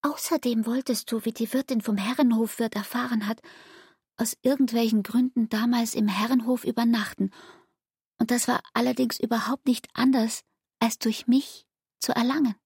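An actress playing a hurt, reproachful young barmaid in a literary radio drama, speaking in a measured, deliberate and intense way. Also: female voice, a little high, fairly even intonation, a little mellow, slightly rough, no room sound, clean studio sound. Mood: quietly accusing.

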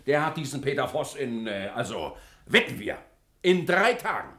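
An actor playing an excitable, impatient businessman in a German radio play, blustery and emphatic. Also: medium pitch, moderate pace. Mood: decisive.

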